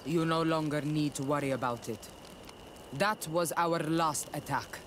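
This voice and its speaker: A man's voice: on the high side, unhurried, fairly bright tone, slight background noise. A man playing a proud, stern rebel warrior leader in a video game, speaking bluntly and solemnly. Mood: resolute. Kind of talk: scripted video game cutscene dialogue.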